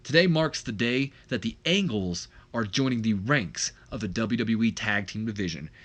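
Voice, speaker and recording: A man's voice: lowish voice, lively intonation, normal speed, a bit bright. A man voicing a stern, hot-headed younger brother wrestler, blunt and emphatic, in acted storyline dialogue. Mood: confident.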